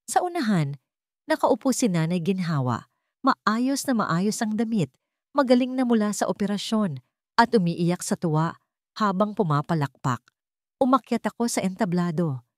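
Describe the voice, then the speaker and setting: A woman's voice: mid-range voice, no room sound, studio quality. A woman reading a scripted dramatic story as a storyteller.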